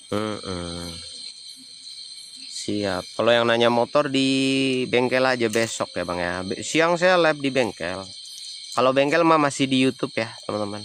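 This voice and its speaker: Man with a Javanese accent, low voice, relaxed streamer chatting to viewers, faint night ambience. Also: slight background noise. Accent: Javanese (Banyumas). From Banyumas, Central Java. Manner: chatty, answers viewer comments. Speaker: livestreaming explorer. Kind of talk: casual livestream chat.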